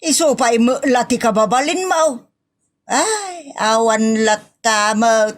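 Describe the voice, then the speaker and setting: An actress plays an exasperated woman of the household with an Ilocano accent. Her voice sits fairly high, and she scolds in exclamations, in the manner of a comedy audio drama. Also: lively intonation, slow pace.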